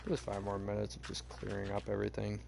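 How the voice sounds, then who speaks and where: Young guy streaming, super gravelly dark voice, kinda hoarse, ums and likes everywhere, faint hiss behind. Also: fairly low pitch, monotone, fast. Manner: rambling, hesitant, many fillers. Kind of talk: casual gameplay chat.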